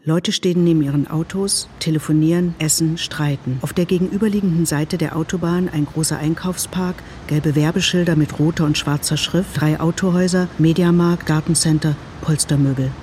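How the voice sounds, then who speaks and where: An actress playing a detached, matter-of-fact narrator who reads screenplay-like directions in short phrases. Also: female voice, low-pitched, steady tone, a little fast, hardly any pauses, dark tone, a little hiss or hum, natural voice.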